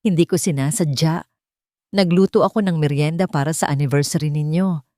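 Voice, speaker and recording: A woman's voice: sits low, a little fast, warm tone, no room sound, clean studio sound, close to an everyday voice. A woman voicing a guilt-stricken best friend, tearful and apologetic, begging forgiveness in an audio story. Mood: tearful, pleading.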